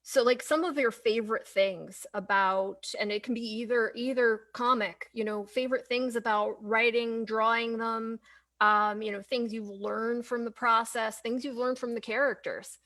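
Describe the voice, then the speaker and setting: A woman with a fairly high voice hosts a casual chat as interviewer. She phrases things tentatively and ramblingly, restarting her sentences and letting them trail off. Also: fairly even intonation, normal speed, fairly bright tone. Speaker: interviewer, host.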